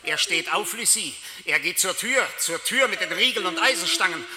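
A man's voice: high voice, lively intonation, moderate pace, bright, forceful tone, exaggerated voice. A man acting in a radio play as a solemn, commanding figure of death, declaiming like an incantation. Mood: solemn, taunting. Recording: long reverberant hall effect.

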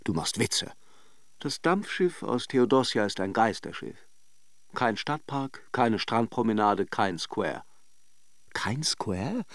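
An actor playing a brooding, introspective lover in a radio drama, speaking measured, self-questioning lines. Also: male voice, mid-range voice, dry recording, clean studio sound. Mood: ironic, questioning. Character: brooding male narrator-lover arguing with his own doubts. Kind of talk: literary radio drama monologue.

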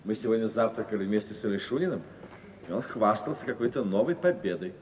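An actor playing a dandyish, gossipy gentleman in a 1950s Russian radio comedy, chatty in manner. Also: fairly low pitch, animated, brisk, natural voice. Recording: muffled, distant, echoey hall with hiss.

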